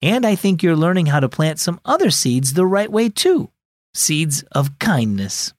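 A man voicing a calm, patient mother who gently teaches her daughter, in a children's storybook reading. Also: on the high side, a little slow, dry recording, studio quality. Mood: gentle.

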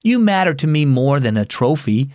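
A man's voice: medium pitch, very animated, moderate pace, no room sound, clean studio sound, lightly acted. A man voicing a kind, forgiving father in a storybook reading. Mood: tender. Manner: soft, reassuring.

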